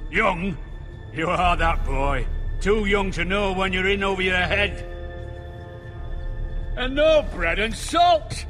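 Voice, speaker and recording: Sneering middle-aged lord, high-pitched, wildly swinging delivery, blustering, video game drama, faint music. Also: male voice, lively intonation, slow, pauses often, fairly bright tone, full character voice. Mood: gloating menace. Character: hostile, foul-mouthed middle-aged lord, gloating and quick to rage.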